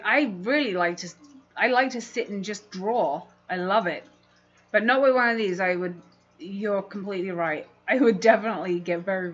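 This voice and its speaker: A woman's voice: medium pitch, fairly bright tone. A woman from London with a London English accent, chatting casually and in a rambling way as she hosts a livestream and answers viewers. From London, England. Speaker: livestream host, fishkeeping hobbyist. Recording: dull, muffled livestream audio.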